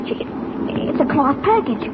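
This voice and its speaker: Wide-eyed cub reporter lad, high and earnest, vintage radio drama through heavy noise. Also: female voice, sing-song, measured pace. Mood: amazed. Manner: breathless, gee-whiz earnestness. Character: eager, curious, wide-eyed teenage cub reporter boy. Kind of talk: 1940s radio serial acting. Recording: tinny, very noisy old radio recording.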